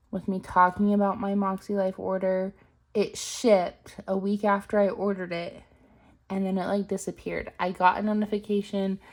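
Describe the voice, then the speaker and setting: A chatty young woman vlogging, her voice a little strained as she gets over a cold. She rambles along with plenty of ums, pitched a bit low. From Utah. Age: 20s. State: recovering from a cold, slightly strained voice. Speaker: planner vlogger. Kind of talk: casual storytelling vlog.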